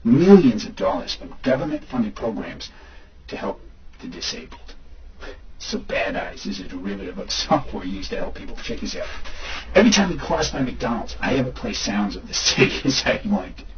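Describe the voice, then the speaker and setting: An actor playing an excitable, hungover man showing off an app in an amateur audio drama. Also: medium pitch, moderate pace, natural voice. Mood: explaining. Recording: muffled recording with faint background noise.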